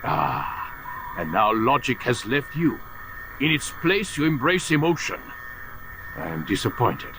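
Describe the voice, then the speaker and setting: An actor playing a calculating older master assassin in a video game, philosophical and condescending in a theatrical, oratorical way. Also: male voice, medium pitch, sing-song, unhurried, noisy background. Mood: disdainful regret. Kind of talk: dramatic video game cutscene acting.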